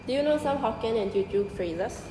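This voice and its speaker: A young woman from Singapore hosts, firing off fast, direct questions. Clear ambient noise sits behind her voice. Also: on the high side, lively intonation, mellow, poor audio. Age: young adult. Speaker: interviewer host. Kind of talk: street interview questions.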